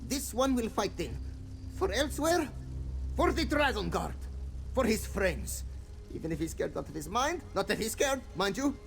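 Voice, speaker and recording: A man's voice: high-pitched, big pitch swings, moderate pace, creaky, a bit bright, full character voice. An actor playing a boastful but cowardly, lovable cat-man adventurer in a fantasy video game, theatrical with nervous hesitations. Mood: brave but scared. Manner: theatrical bragging with nervous hesitation, third-person speech. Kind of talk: video game voice acting, comic fantasy dialogue. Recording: game audio with faint music behind.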